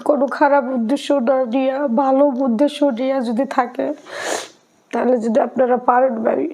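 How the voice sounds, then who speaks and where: A woman's voice: high-pitched, gravelly, warm tone, poor audio. A young woman with a colloquial Bangladeshi accent, making a plaintive, emotional personal appeal for help in an interview. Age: young adult. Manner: pleading, plaintive.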